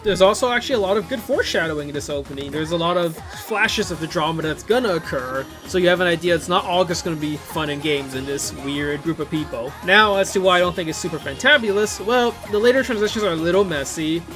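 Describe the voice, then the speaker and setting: A man's voice: fairly high pitch, fast, few pauses. A man hosting a casual video commentary, conversational and opinionated, often asking rhetorical questions. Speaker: video host and reviewer. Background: upbeat music from the videos being reviewed.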